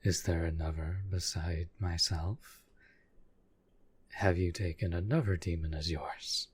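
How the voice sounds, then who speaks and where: Man voicing a deep, husky, possessive demon. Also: steady tone. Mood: puzzled, suspicious. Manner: formal, measured, menacing yet deferential. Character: proud, possessive, devoted demon bound to a sorcerer master. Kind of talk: scripted roleplay audio drama monologue.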